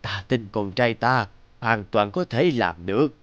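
An actor voicing an elderly, ailing king, regal and doting, in an animated fairy tale. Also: lowish voice, unhurried, muffled recording. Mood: reassuring.

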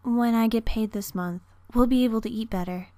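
A woman playing a gentle, struggling mother in an amateur anime dub audition, tender, apologetic and wistful. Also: on the high side, brisk, no room sound, natural voice. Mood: weary, apologetic.

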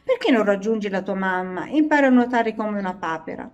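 A woman's voice: mid-range voice, lively intonation, a little fast, muffled recording, lightly acted. Woman voicing a questioning little storybook frog. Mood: curious. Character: small female frog giving practical advice.

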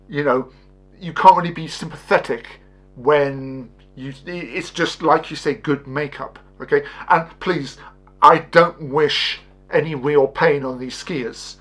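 A man in his forties giving a casual spoken review to camera, halting and often tagging statements with "okay?". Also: a little high, a bit bright. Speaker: host, film reviewer. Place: small indoor set with curtain backdrop.

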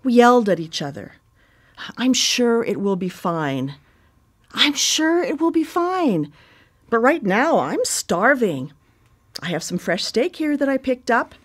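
An actress playing a devoted, possessive sister and anxious caretaker in a naturalistic radio drama, speaking in a halting, earnest, pressing way. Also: female voice, fairly high pitch, big pitch swings. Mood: apologetic, flustered.

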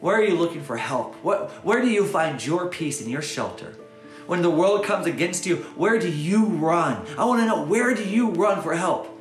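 Pastor, 30s, earnest sermon to camera with soft prayer parts; echoey room, light music bed. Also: male voice, high-pitched, moderate pace. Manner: earnest, animated, swings between loud urging and soft prayer. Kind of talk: short video sermon to camera. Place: bright home room with windows, indoors.

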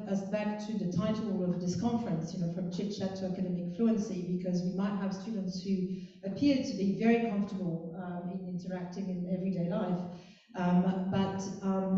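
A woman's voice: medium pitch, dark tone. Hesitant woman panelist in an echoey hall. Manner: thoughtful, hesitant with fillers. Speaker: language coordinator, panelist. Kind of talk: panel discussion answers. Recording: roomy hall, distant mic, dull sound.

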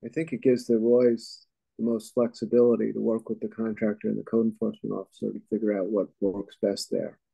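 A man's voice: on the low side, little pitch movement, brisk, creaky, mellow, slightly rough, poor audio. A middle-aged man on a planning board, joining a meeting by video call and speaking plainly and matter-of-factly. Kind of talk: meeting discussion.

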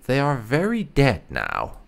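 A man's voice: medium pitch, huge pitch swings, a little slow, creaky. A man doing playthrough commentary, musing and reading his character's dialogue choices aloud. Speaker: gaming channel host roleplaying his character.

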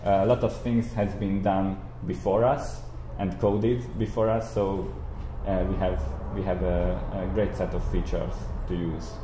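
A young man giving an explanatory software tutorial, haltingly, repeating and rephrasing himself as he goes. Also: low-pitched, level tone. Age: young adult. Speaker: tutorial instructor. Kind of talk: explanatory software screencast lecture. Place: indoor room, speaking beside a screen recording. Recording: roomy echo, audible background noise.

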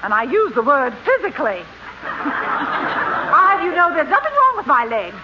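Shrill, indignant middle-aged wife. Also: male voice, huge pitch swings, a little slow, full character voice. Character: gushy, indignant middle-aged wife, former ballet dancer. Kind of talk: 1940s radio comedy acting. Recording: scratchy, muffled 1940s radio recording.

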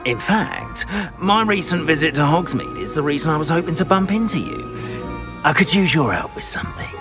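An actor playing a flustered, eager middle-aged castle caretaker in a video game, hesitant, fussy and effusive. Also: male voice, on the high side, animated, normal speed, music in the background, lightly acted. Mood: effusive, conspiratorial. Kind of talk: video game cutscene acting.

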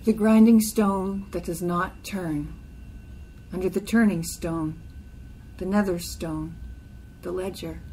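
A woman's voice: lowish voice, steady tone, slow, dark tone, a little hiss or hum. A woman reading a literary poem aloud as part of a group reading. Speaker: poetry reader.

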